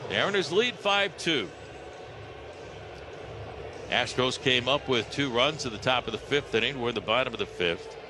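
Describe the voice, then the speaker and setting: A man's voice: mid-range voice, animated, normal speed, long pauses. American man calling baseball, noisy broadcast. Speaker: baseball radio play-by-play announcer. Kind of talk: live play-by-play commentary. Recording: clearly noisy live ballpark radio broadcast.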